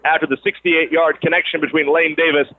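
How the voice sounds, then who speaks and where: A man doing live radio play-by-play of high school sports in a conversational announcer's style, on a broadcast mic. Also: little pitch movement, fast talker, no echo, narrow, tinny audio. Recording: band-limited radio broadcast sound, gym or field noise.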